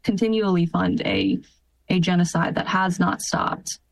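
Interview guest: American woman, twenties, earnest and reflective, halting delivery, dry recording. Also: lowish voice, big pitch swings, creaky, no room sound, rough recording. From United States. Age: 20s. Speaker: interview guest, military officer. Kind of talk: earnest personal testimony in an interview.

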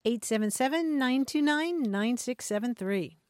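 A woman's voice: a little high, lively intonation, normal speed. A woman hosting a call-in radio show in a casual, conversational style.

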